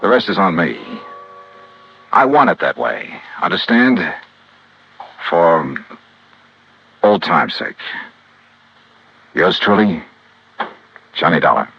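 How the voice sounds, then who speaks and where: A man's voice: low voice, sing-song, slow, vocal fry, pauses often, slight background noise, heavily acted. A man playing a shrewd, tough insurance investigator in 1950s radio noir style, with a clipped American delivery. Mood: somber, weary. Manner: clipped, hard-boiled. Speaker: lead actor of a radio detective series. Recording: muffled old radio recording.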